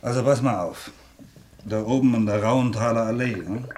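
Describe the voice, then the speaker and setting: An actor playing a practical working-class drinking buddy in a radio play, colloquial and coaxing. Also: male voice, mid-range voice, normal speed, a little mellow, a little hiss or hum, lightly acted. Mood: persuasive. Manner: colloquial, coaxing, tag questions. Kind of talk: naturalistic German radio play dialogue.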